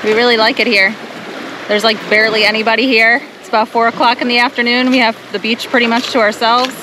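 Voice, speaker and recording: A woman's voice: a little high, little pitch movement, quick pace, background noise. Chatty young woman vlogging outdoors. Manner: chatty, upbeat, laughs easily. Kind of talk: casual family camping vlog. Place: outdoors at a beach and park. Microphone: action camera.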